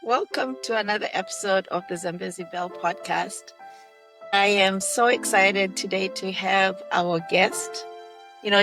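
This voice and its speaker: A woman's voice: medium pitch, fairly bright tone, poor audio. A woman hosting a podcast, enthusiastic as she welcomes her guest and leads a prayer. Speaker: podcast host.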